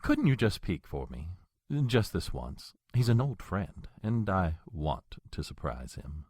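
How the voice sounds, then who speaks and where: An actor playing an old, courtly and menacing male vampire in an audio drama, speaking with a suave, husky voice. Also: low voice, unhurried, no echo, clean studio sound, exaggerated voice. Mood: silky. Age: older. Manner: suave, flattering, then threatening.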